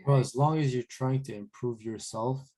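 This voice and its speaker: A man's voice: medium pitch, normal speed, mellow. A young man co-hosting a podcast in blunt, casual, slangy conversation. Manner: blunt, slangy, mild swearing. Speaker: podcast co-host. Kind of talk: casual chat.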